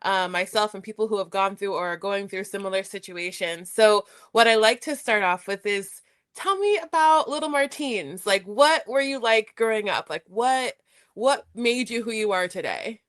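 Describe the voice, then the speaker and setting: A woman's voice: mid-range voice, lively intonation, moderate pace, a bit bright. A woman hosting her own podcast, chatting and laughing with a guest over a video call. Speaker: podcast host. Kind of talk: casual interview chat.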